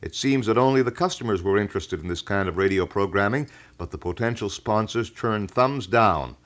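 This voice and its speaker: Very deep-voiced formal American radio man. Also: a little fast, a bit bright. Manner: formal, wordy, old-fashioned announcer phrasing. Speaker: radio talk show host. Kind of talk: live local radio broadcast, formal announcing and reading letters. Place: radio studio.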